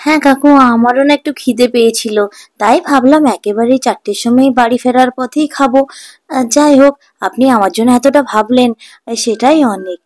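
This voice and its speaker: A woman's voice: a little high, fairly quick, darkish tone, lightly acted. A woman voicing a polite, trusting young man who runs a food stall in a children's cartoon, earnest and mild. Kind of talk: Bengali children's cartoon dubbing.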